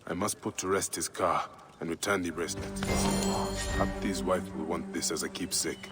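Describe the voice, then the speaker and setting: An actor playing a grim, solemn Egyptian warrior in a video game cutscene. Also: male voice, sits low, fairly even intonation, moderate pace, close to an everyday voice. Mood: somber. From Siwa, Egypt (in-story). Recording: game music behind the voice.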